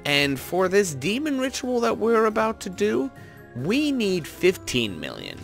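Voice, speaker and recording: A man with a fairly high-pitched voice hosts a gaming video. He talks informally and thinks aloud as he plays, in a casual commentary style. Soft music sits under his voice. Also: huge pitch swings, measured pace, gravelly. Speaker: host of a gaming video series.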